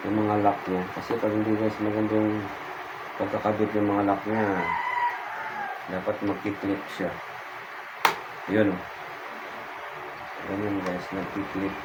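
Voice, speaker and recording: A man's voice: lowish voice, completely flat delivery, unhurried, long pauses. A Filipino man giving a casual hands-on tutorial, informal and repeating his phrases as he demonstrates. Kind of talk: casual hands-on how-to chat. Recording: very noisy background ambience.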